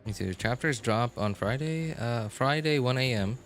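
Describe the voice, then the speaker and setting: A man live streaming a game and chatting casually, halting and repeating words as he thinks aloud. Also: medium pitch, animated. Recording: music playing behind the voice. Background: music.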